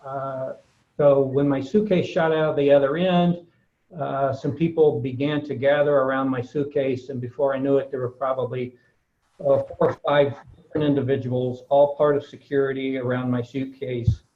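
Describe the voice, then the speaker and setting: A man from the United States telling a class a relaxed anecdote, folksy and hesitant with frequent fillers. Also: medium pitch, moderate pace, dull audio, a little hiss or hum. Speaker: guest speaker.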